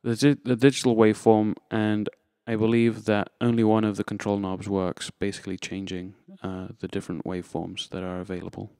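A man's voice: lowish voice, little pitch movement, a little fast, few pauses, warm tone, smooth, no echo, clean studio sound. A man giving an informal, unscripted tutorial, explaining things step by step as a presenter. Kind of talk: informal instructional demonstration.

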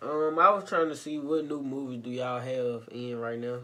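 A man's voice: medium pitch, level tone, moderate pace, pushed and bright, slight background noise. A young man with an American accent talking casually and with lots of slang in a small room. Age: young adult. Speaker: prank-calling video guest. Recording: faint music behind the voice. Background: faint music.

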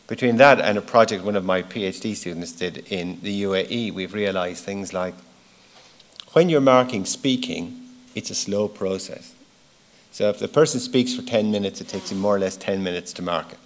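Middle-aged man, fairly low voice, conference keynote, informal explaining, plain-spoken, emphatic stresses. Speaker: keynote speaker. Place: large wood-panelled auditorium, at a lectern. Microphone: lectern gooseneck microphone.

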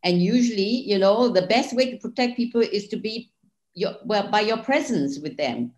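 A woman's voice: medium pitch, expressive, moderate pace, darkish tone. A woman speaking emphatically as a panelist and former operations head on a video call. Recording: echoey room on video call.

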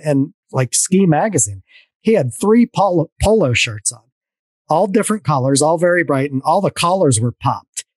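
A middle-aged man hosting a podcast over a video call, telling rambling, animated stories with many restarts. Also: a little high, breathy edge, dry recording. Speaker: podcast host. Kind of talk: casual video-call conversation. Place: dim home room. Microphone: headset on a video call.

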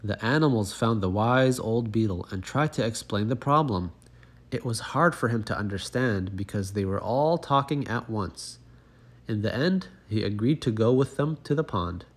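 A man narrating a children's picture book as a read-aloud, in an expressive storytelling manner. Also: fairly low pitch, moderate pace.

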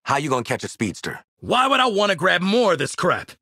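A tough video game mercenary sniper, with a forceful, gravelly voice that sits fairly high and swings widely in pitch. He talks with cocky, streetwise swagger and wry, slangy profanity. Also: huge pitch swings, brisk, no echo, clean studio sound, heavily acted. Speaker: game character voice actor. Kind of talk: short video game combat and banter lines.

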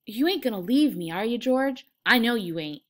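A woman's voice: a little high, expressive, normal speed, pitched above the performer's own voice, natural voice. A big, simple-minded man, played by a woman reading a storybook aloud. He is childlike and eager, with a pleading manner and folksy, ungrammatical country speech.

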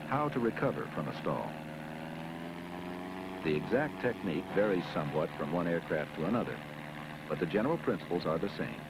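An American man with a deep voice narrates an instructional film. His delivery is emphatic and his intonation rises and falls in a lively way. The sound is an old 1970s film track with clear noise behind the voice.

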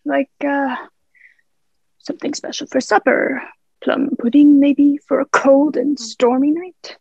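Woman doing a sly pirate boss, really creaky voice, salty talk, muffled home recording. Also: high-pitched, fairly even intonation, a little slow, darkish tone, no echo. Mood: scheming, coaxing. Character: cunning, commanding male pirate ringleader, falsely friendly. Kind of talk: amateur radio play acting.

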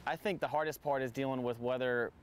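A man's voice: mid-range voice, fairly even intonation, fast, a little hiss or hum. Hesitant man on a lapel mic outdoors. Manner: hesitant, many ums and repeated words. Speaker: stadium field manager. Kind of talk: casual interview answers. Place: outdoors in a large empty stadium.

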